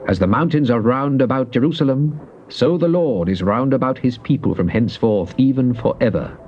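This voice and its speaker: An actor playing a devout psalmist in a solemn, measured, theatrical Bible reading. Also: male voice, mid-range voice, a little mellow, natural voice. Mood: solemn, grateful. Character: devout psalmist pleading and praising God. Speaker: scripture reader. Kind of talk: dramatized King James Bible reading. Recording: dull, noisy old cassette tape.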